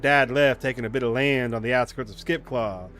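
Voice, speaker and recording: Haunted rural boy telling his story for a visual novel read by a man, average pitch, unadorned halting read, music underneath. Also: a bit bright, natural voice. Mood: somber, reflective. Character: haunted country boy Runt recounting his childhood. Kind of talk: reading visual novel narration aloud.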